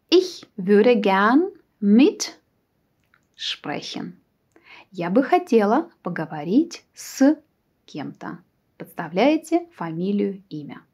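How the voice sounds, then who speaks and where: A woman in her forties teaching phrases as a language instructor, enunciating deliberately and repeating each phrase with its translation. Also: a little high, darkish tone, husky. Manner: deliberate, careful enunciation with repetition.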